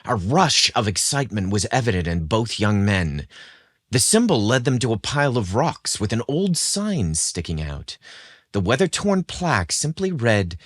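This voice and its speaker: A man's voice: fairly low pitch, very animated, normal speed, no echo, clean studio sound. A man narrating a scripted story with dramatic expression.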